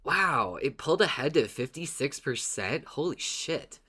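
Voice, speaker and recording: A podcast co-host chatting casually, in an informal and somewhat halting way. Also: mid-range voice, expressive, pushed and bright, breathy edge. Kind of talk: casual fan podcast chat.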